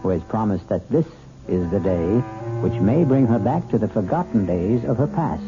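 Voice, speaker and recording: A man hosting and narrating a radio mystery show in a measured, wry, ominous manner. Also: on the low side, expressive. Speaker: host and narrator. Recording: dull vintage radio broadcast audio with music.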